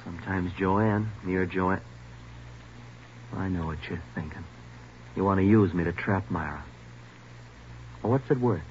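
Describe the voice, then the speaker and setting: An actor playing a defensive young gang leader under questioning in an American 1940s radio drama, sullen, evasive and agitated. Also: male voice, low voice, unhurried, long pauses, darkish tone. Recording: muffled, faintly noisy old radio recording.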